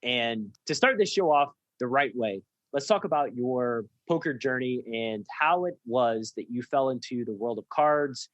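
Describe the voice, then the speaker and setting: Dry-sounding podcast host probing with questions. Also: male voice, medium pitch, animated, unhurried, fairly bright tone, dry recording, dull audio. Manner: probing, keeps asking why. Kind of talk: conversational interview.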